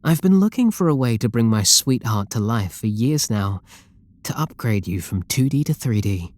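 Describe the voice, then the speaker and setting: A man's voice: mid-range voice, animated, normal speed, a little mellow, dry recording, close to an everyday voice. A man with a British accent playing an obsessive, possessive, lovestruck game developer in an intimate roleplay audio drama, doting and controlling. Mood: adoring. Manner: doting, intimate, pleading endearments.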